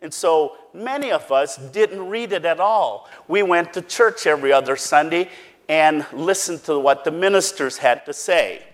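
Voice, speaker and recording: A man whose first language is Pennsylvania Dutch, giving an earnest, plain-spoken talk and explaining step by step. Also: a little high. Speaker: speaker giving a presentation.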